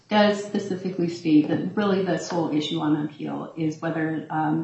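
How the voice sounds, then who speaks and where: A nervous woman attorney with a very soft, shaky voice, arguing carefully before a court at the podium microphone and pausing on many ums. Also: lowish voice, creaky, slight background noise. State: nervous, voice shaking. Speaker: appellee's attorney. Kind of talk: appellate oral argument. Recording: roomy courtroom echo.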